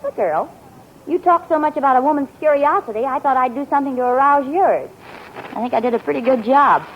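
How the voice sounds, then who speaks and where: An actress playing a patient, gently teasing wife in a 1940s radio sitcom. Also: female voice, high voice, expressive, fairly quick, highs cut off. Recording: old radio broadcast with loud hiss and audience.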